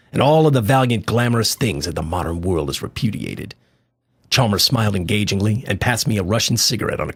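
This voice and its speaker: An actor playing a skeptical friend who narrates a radio horror tale, with a gravelly, husky voice and a dry, measured manner. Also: male voice, fairly low pitch, a bit bright, heavily acted. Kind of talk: radio drama narration and dialogue.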